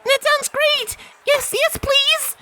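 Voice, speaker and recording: A man's voice: very high-pitched, slow pace, noisy background, pitched above the performer's own voice. A man voicing a sweet, eager little pony filly in acted storybook dialogue. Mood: elated. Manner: squealing when excited, soft and pleading when worried. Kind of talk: acted dialogue in a fan fiction audiobook.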